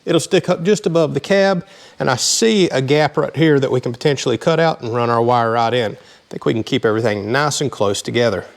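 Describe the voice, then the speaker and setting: A man's voice: mid-range voice, brisk, vocal fry. A man hosting a casual hands-on DIY tutorial, talking in a plain-spoken, explanatory way. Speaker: host of a DIY vehicle channel.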